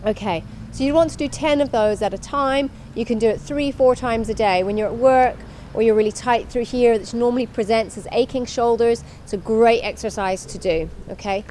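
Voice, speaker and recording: A woman's voice: fairly high pitch, normal speed, hardly any pauses, warm tone, slight background noise. A woman instructor talking through an exercise demonstration in an instructional way, repeating her cues as she goes.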